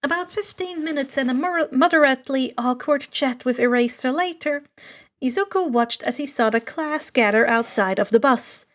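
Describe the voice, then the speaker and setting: A woman's voice: high-pitched, lively intonation, normal speed. A woman narrating fan fiction aloud as a podfic reader.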